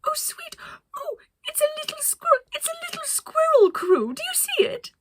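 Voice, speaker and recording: A woman voicing a cheerful, excitable lady pilot in a children's story, gushing and gasping with theatrical delight. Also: very high voice, normal speed, voice pushed up. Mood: delighted excitement.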